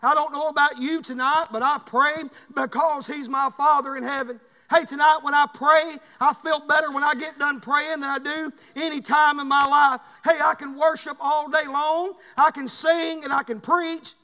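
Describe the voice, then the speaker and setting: A man's voice: very high voice, little pitch movement, normal speed, few pauses, sharp tone. A man with a Southern US accent preaching a fervent, folksy evangelical sermon, exhorting a congregation in punchy phrases. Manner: exhortatory, folksy, repeatedly punctuating phrases with "hey". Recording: echoey hall with long reverb.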